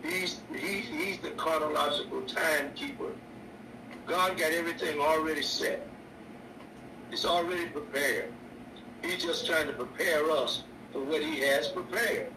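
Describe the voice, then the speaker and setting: An older man, a bishop preaching a sermon on his radio show, slow and repetitive with stammered restarts. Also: fairly high pitch, gravelly. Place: indoor room. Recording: echoey distant mic with noise.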